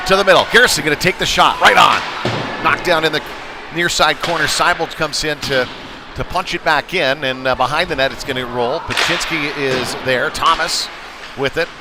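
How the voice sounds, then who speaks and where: A man's voice: on the high side, a little fast, noisy background. A man calling live hockey play-by-play in clipped present-tense phrases that build with the action. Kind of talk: live sports commentary.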